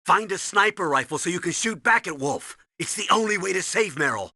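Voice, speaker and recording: A man playing a gruff, urgent military commanding officer briefing his agent in a video game, with a commanding, instructive delivery. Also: high-pitched, animated, a little fast, pushed and bright, no echo, studio quality, exaggerated voice. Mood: alarmed, urgent.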